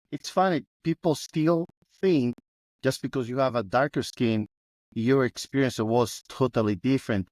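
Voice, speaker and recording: A man hosting a podcast, with a mid-pitched voice in a dry room. He talks in an animated way and often restarts with repeated words. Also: no echo. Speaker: podcast host. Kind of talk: conversational interview.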